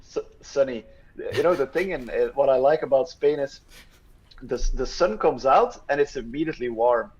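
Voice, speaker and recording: A man, a workshop speaker and startup founder, answers audience questions in a hesitant way with many ums and restarts, trailing off quietly at the ends. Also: mid-range voice, expressive, normal speed, breathy edge. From Belgium. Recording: slightly muffled lo-fi audio.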